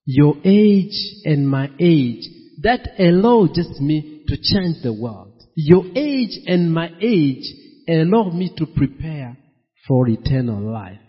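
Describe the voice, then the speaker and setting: A pastor preaching a sermon, slow and emphatic, repeating his key phrases. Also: on the high side, sing-song, breathy edge. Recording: dull, echoey hall.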